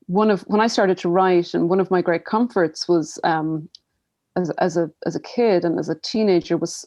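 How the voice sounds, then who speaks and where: A woman's voice: fairly low pitch, a little mellow. An Irish woman in her forties, a writer reflecting in an interview, thoughtful and full of restarts. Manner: thoughtful, many fillers and restarts. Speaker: writer, guest. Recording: dull lo-fi audio.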